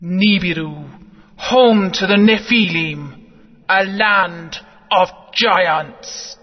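A solemn alien overlord. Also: male voice, high voice, exaggerated voice. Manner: slow, solemn proclamations. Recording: muffled audio with long reverb.

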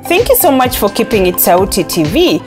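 A woman's voice: medium pitch, sing-song, brisk. A woman with a Kenyan accent presenting entertainment news as a scripted voice-over, upbeat, reading posts aloud. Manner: upbeat, reads quotes aloud. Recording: voice-over with loud background music.

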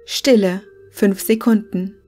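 A woman's voice: medium pitch, fairly even intonation, takes it slowly, dark tone, faint music behind. A woman narrating a scripted fictional case file in a formal, report-like manner.

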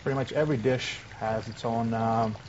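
A man's voice: mid-range voice, moderate pace. A man in his thirties, a restaurant owner, earnestly promoting his place in a TV studio interview with loose grammar. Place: TV studio set. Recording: muffled broadcast audio with steady hiss.

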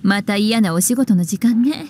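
A woman voicing an elegant, teasing witch librarian in a video game, speaking in an unhurried, coquettish way. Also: medium pitch, darkish tone, a little hiss or hum, close to an everyday voice. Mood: composed, languid. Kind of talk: Japanese video game voice acting.

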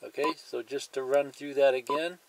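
A man's voice: medium pitch, steady tone, normal speed, gravelly, a little hiss or hum. A man presenting a hands-on tutorial in a plain, methodical way, explaining each step and repeating it.

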